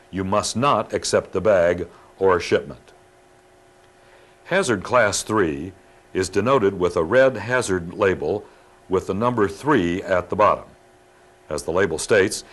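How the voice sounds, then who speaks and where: A man narrating a scripted instructional training film in a measured, formal, instructive manner. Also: low voice.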